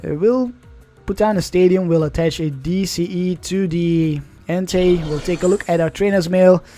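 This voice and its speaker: A man's voice: high-pitched, fairly even intonation, normal speed, dark tone, soft background music. A young man whose first language is Dutch, casually commenting on an online card game in a chatty, rambling way, often correcting himself. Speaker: gaming channel host.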